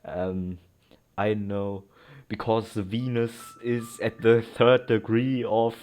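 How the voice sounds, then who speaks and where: A man's voice: on the low side, level tone, slow pace, darkish tone, soft background music. A man giving casual commentary as a let's play streamer, in a sarcastic, mocking and giggly way, with plenty of swearing.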